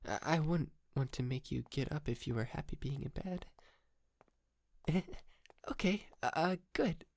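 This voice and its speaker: Man playing a slow, stammering, polite lovesick kidnapper. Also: a little high, creaky, no echo. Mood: sweetly possessive. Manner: hesitant, stammering, nervous giggles, apologetic. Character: shy, polite, clingy young male yandere kidnapper, lovesick and awkward. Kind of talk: intimate ASMR roleplay to the listener. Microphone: close microphone, dry.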